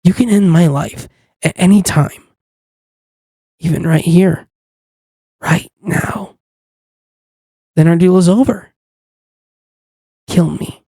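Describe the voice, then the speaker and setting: Smug demon contract-dealer, acted by an amateur performer, mid-pitched, dry intimate ASMR delivery, teasing and in control. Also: fairly even intonation, dark tone, husky, no echo. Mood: daring. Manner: teasing, coaxing, unhurried with lingering pauses. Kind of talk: ASMR roleplay, intimate scripted monologue.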